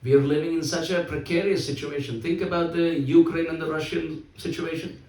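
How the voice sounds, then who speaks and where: A man's voice: fairly high pitch, steady tone, fairly quick, a little mellow. A man giving a sermon, emphatic and repeating his key phrases. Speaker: spiritual speaker. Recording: echoey hall, voice from a distance.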